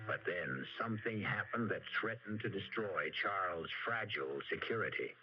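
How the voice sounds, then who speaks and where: A man's voice: low-pitched, animated, moderate pace, background noise. A man hosting a radio drama with ominous, theatrical scripted narration. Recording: dull vintage radio recording, music underneath.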